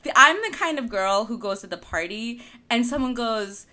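A young woman with a bright, forward voice co-hosts a podcast. She talks in a loose, chatty way, laughs often and trips over her own words. She grew up in Argentina. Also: a little high, lively intonation, normal speed. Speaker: podcast co-host. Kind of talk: casual chat.

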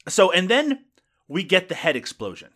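A man's voice: high voice, big pitch swings, moderate pace, bright, forceful tone. A man co-hosting a casual podcast, reviewing a horror film with wry jokes, swearing and frequent laughs. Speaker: podcast co-host. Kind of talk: casual movie review chat.

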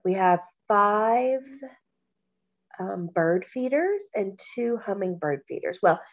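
A woman from Kentucky co-hosting a podcast, talking slowly and reflectively, with a stuffy nose from allergies. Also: medium pitch, big pitch swings, muffled recording. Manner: slow, warm, reflective, with many pauses and fillers. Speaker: podcast co-host. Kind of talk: casual podcast chat.

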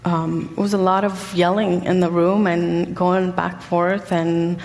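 A young woman from Egypt giving a personal testimony as a guest speaker, halting often with ums and pauses. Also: lowish voice, level tone, darkish tone, big-room sound. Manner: hesitant, many ums and pauses. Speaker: guest speaker sharing her story.

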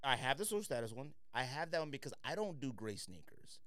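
A man's voice: medium pitch, very animated, fast, a bit bright, no echo. A man hosting a casual podcast debate, opinionated and bantering in informal slang. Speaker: podcast host. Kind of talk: casual group debate about sneakers.

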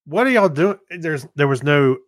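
A man's voice: on the high side, animated, normal speed, no room sound, clean studio sound. A man co-hosting a podcast in casual, conversational chat about movies. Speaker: podcast co-host.

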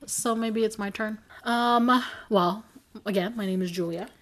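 A woman's voice: mid-range voice, measured pace. A young adult woman co-hosting a podcast, chatting casually, rambling and correcting herself with frequent giggles. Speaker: podcast co-host. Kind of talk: casual chat.

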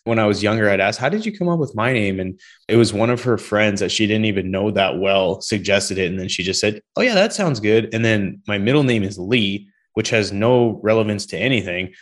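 Brief: man, middle-aged, Canadian-born podcast host, lower voice, chatty and upbeat, remote call. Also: animated, fairly quick. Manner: upbeat, chatty, rambling asides. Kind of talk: casual interview chat. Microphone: video call.

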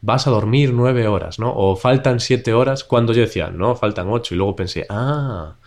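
A man's voice: medium pitch, moderate pace. A man from with a stuffy nose from a cold, hosting a casual podcast for learners over a video call. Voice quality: nasal. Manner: relaxed, chatty, laughs often. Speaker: podcast host. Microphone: recorded over a video call.

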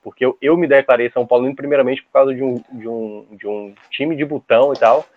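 A man's voice: mid-range voice, fairly even intonation, no echo. Man from on a tinny line. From Ceará, Brazil. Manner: halting, many restarts and repeated words. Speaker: podcast guest. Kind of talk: casual podcast chat. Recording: dry tinny phone-like line.

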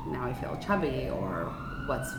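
Slow, low-voiced woman host, roomy. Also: wildly swooping pitch. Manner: warm, affirming, slow and deliberate. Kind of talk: supportive talk show chat. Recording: roomy echo with clear background noise.

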